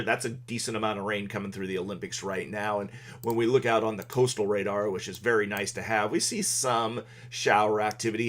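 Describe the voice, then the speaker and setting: A man with a fairly low voice hosts a live weather stream from his desk. He talks loudly and casually, stopping often with fillers as he clicks through maps. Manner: loud, halting delivery with many fillers. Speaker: local weather livestream host. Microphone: desk microphone.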